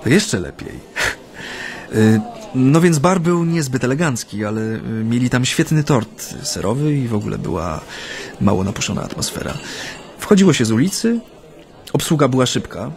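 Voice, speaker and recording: A man's voice: mid-range voice, expressive, moderate pace, close to an everyday voice. An actor playing a genial Japanese man reminiscing hesitantly and reflectively about his life, in a conversational radio drama. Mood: amused, reminiscing. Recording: studio radio play with music.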